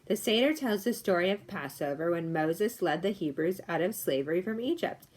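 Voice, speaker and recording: A woman reading a story to children, pausing for casual explanatory asides and questions to her listeners. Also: on the low side, expressive, darkish tone.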